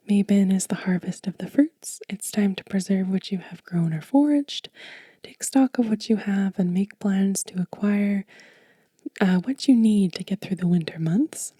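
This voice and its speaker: Woman, hushed ASMR host, very dark relaxed tone, breathy, gentle, close-miked, dry sound. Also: medium pitch, dry recording. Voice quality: whispery, breathy soft voice. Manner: gentle, unhurried. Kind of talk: soft-spoken ASMR show and tell. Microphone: close mic.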